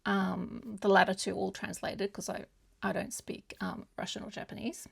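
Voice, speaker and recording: A woman's voice: medium pitch, little pitch movement, moderate pace, creaky, husky, in a whisper. A woman whose first language is German, chatting casually to viewers as a book vlogger in a rambling, hesitant way that often loses its thread.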